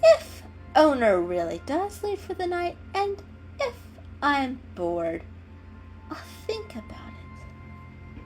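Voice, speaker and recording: A woman's voice: high voice, huge pitch swings, takes it slowly, pauses often, a little mellow, faint music behind, pitched above the performer's own voice, exaggerated voice. A woman voicing a haughty, scornful female cat in a storybook reading, with a snooty, scoffing way of talking. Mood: grudging.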